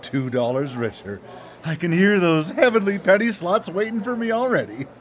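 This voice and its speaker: An actor playing a smug, gleeful boss of soul collectors in a theatrical, gloating manner for live radio drama. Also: male voice, high voice, sing-song, normal speed. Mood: smug, playful.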